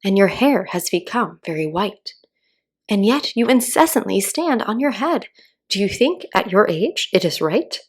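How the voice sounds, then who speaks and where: A woman's voice: medium pitch, very animated, unhurried, husky, full character voice. A woman voicing a cheeky, inquisitive young man, reciting rhymed verse from a children's storybook. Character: cheeky, inquisitive young man questioning his old father. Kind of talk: children's storybook read-aloud.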